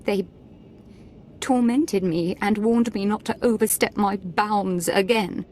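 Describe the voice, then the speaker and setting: A woman's voice: mid-range voice, slow pace, darkish tone, lightly acted. Woman acting a petulant, haughty Sith lord, noisy backdrop. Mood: bitter, wounded. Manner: haughty, aggrieved, dramatic. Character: wounded, petulant, imperious young female Sith lord.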